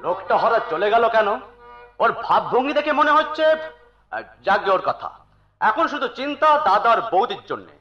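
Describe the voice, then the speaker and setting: An actor playing a devoted, earnest younger brother in Bengali folk theatre, speaking in a declamatory, emotional way. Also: male voice, high voice, very animated, normal speed, long pauses, pushed and bright, heavily acted. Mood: uneasy, concerned. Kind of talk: Bengali folk theatre (jatra) stage acting. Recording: echoey, dull stage recording with music.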